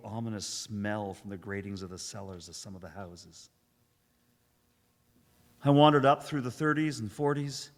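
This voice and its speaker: A haunted professor, played by a man, recounts surviving an alien invasion in a radio drama. His medium-pitched voice is solemn and theatrical, with deliberate, suspenseful phrasing. It rings out with long reverb in a live church hall. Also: lightly acted. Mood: wary, desolate. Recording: echoey hall, live stage recording.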